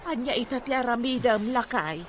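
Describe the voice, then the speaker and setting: Worried farmer's wife female actor, radio serial, higher voice, lively intonation. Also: brisk, slight background noise, close to an everyday voice. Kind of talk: radio drama acting.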